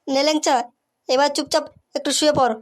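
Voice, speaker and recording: A woman's voice: high-pitched, little pitch movement, brisk, lightly acted. A woman voicing an eager, urgent young boy in a comic cartoon. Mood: anxious, eager. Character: eager young boy fetching help for friends.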